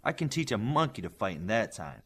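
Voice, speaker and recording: A man's voice: lowish voice, very animated, fairly quick, a bit bright, breathy edge, full character voice. A man voicing a stern, demanding superhero coach in an audiobook, speaking with blunt, commanding authority to young trainees. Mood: intense, challenging. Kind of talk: audiobook character dialogue.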